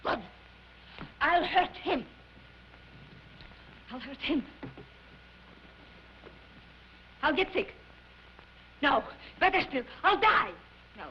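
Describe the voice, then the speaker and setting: Tantrum-prone opera diva, very high voice, swooping delivery, theatrical old comedy film, muffled noisy soundtrack. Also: female voice, big pitch swings, measured pace, long pauses. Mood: wounded, spiteful. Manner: theatrical, wailing, shrieking tantrums. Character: vain, hot-tempered, melodramatic female opera diva.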